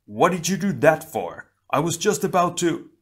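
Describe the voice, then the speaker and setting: A man's voice: fairly high pitch, big pitch swings, moderate pace, slightly rough, voice pushed up, full character voice. A man voicing a bold, hot-headed fire warrior in an adventure story, crying out urgently. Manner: urgent shouting.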